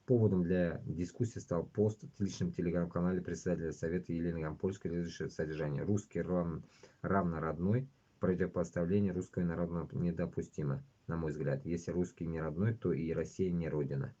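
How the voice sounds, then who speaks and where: Deep-voiced man, mellow and dull-sounding. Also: fairly even intonation, brisk. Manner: blunt, heavily swearing. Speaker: livestream host. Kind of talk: casual livestream chat with read-out news. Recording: dull, lo-fi stream audio.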